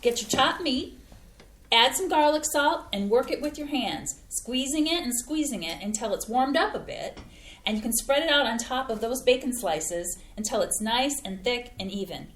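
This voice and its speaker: A woman's voice: on the high side, expressive, moderate pace, fairly bright tone. Folksy, eager-to-please mom who loves her own cooking, read by a woman; bouncy delivery, echoey stage. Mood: chatty. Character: cheerful homey mother, proud eager home cook. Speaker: stage reading actor. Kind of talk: community theater staged reading. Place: small community playhouse stage.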